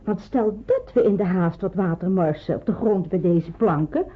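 An actress playing a practical, helpful woman in hiding in a radio drama, explaining things briskly and precisely. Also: lowish voice, husky. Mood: earnest, careful. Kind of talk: Dutch radio drama acting. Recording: muffled, phone-like old radio recording.

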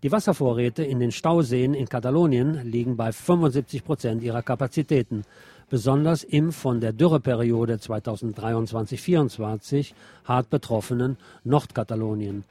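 A man's voice: mid-range voice, normal speed, mellow, no echo. A man presenting scripted radio news, adding opinionated, wry asides as he reads. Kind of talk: scripted local news read with spoken asides.

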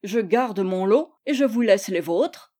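A woman voicing a stubborn, proud older gentleman in a storybook, protesting emphatically. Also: medium pitch, animated, measured pace. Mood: proud, firm.

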